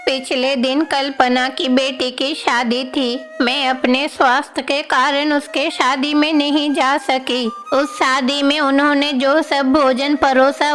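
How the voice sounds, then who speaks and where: Woman playing a kindly, slow-spoken village grandmother. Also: sits high, a bit bright. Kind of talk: devotional animated story dialogue.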